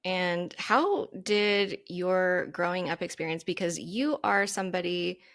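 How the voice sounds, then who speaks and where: A woman hosting a podcast interview and reading out an astrology chart, who talks in an enthusiastic, drawn-out way. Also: on the low side, gravelly, fairly bright tone. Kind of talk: casual interview chat.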